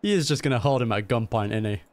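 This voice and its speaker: A man's voice: mid-range voice, lively intonation, fast. A man hosting a reaction video, commenting on a game in a laid-back, slangy, amused way. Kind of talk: casual gameplay reaction commentary. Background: game music and dialogue.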